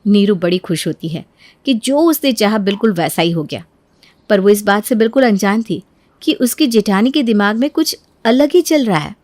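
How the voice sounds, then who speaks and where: A woman's voice: mid-range voice, lively intonation, normal speed, a little mellow, dry recording. A woman reading scripted narration for a cartoon family story.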